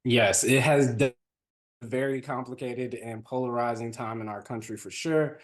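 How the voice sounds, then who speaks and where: Guy moderating a webinar, average pitch, appreciative, chatty, bit halting. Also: fairly even intonation. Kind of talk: moderating a panel, conversational.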